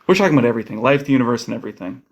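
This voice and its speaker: A man's voice: medium pitch, expressive, racing. Easygoing man hosting a livestream. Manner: rambling, easygoing. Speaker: livestream host. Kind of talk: casual chat.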